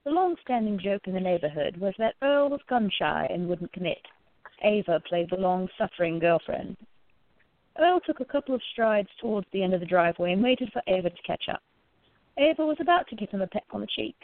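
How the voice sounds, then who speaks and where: Female narrator for an audio play, scripted storytelling, mid pitch, close and dry through a phone-like band. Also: animated, a little fast, no echo. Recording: narrow phone-like sound.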